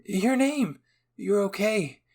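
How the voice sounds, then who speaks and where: A man's voice: high voice, animated, slow, a little mellow, voice pushed up, exaggerated voice. A man voicing a worried, affectionate young woman soldier in an anime fan fiction roleplay. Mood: relieved.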